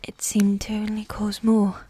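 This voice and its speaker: A woman playing a regal, seductive, coolly manipulative evil queen in a medieval ASMR roleplay, speaking in a soft, breathy whisper with formal, measured phrasing. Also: medium pitch, monotone. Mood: tense, tender. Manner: slow, measured, formal, with long pauses.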